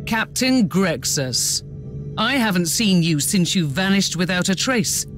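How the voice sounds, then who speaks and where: A woman's voice: lowish voice, animated, fairly bright tone, soft background music. An actress playing a proud, courteous young noblewoman in a sci-fi video game, with formal, polite phrasing. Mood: surprised, reproachful. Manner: formal, polite, measured wording.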